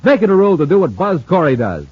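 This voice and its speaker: Vintage radio announcer, man: animated sell, a bit husky. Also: quick pace, no echo. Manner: energetic, lively sales pitch. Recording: dull old radio recording.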